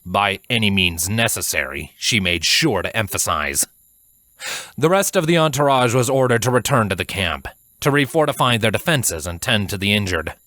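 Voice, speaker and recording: A man reading a fantasy story as a narrator, in a measured, dramatic way. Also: fairly low pitch, animated, bright, forceful tone, dry recording, studio quality. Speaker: fan fiction narrator.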